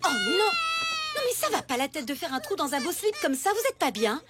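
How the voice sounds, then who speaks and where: Exasperated scolding mother for animation, extremely high pitch over music. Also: very animated, brisk. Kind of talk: French animated series voice acting.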